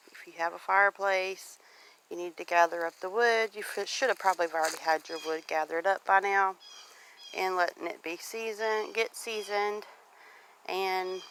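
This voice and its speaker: A woman's voice: medium pitch, fairly bright tone, slight background noise, low-quality recording. A middle-aged American woman with a Southern accent, chatting casually and plainly in a rambling walk-and-talk vlog recorded outdoors. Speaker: homesteading vlogger. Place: outdoors, walking through a wooded rural property.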